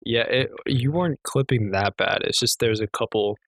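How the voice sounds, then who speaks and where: A young man with a quiet, slightly hoarse voice at an ordinary pitch, chatting loosely and joking as a podcast co-host. He rambles and swears casually. The sound is dry and close to the mic. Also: normal speed, dry recording. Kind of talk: casual chat.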